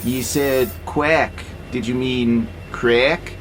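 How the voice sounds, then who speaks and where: An actor playing an obedient, literal-minded freight robot in an audio drama, with a pedantic, matter-of-fact manner. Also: male voice, mid-range voice, very slow, music in the background. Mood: hesitant. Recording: clear steady background noise, vehicle-like hum.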